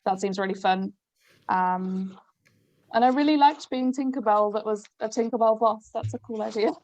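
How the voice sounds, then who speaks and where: A woman's voice: a little high, moderate pace, a little mellow, poor audio. A woman giving casual feedback as an actor on a reading panel.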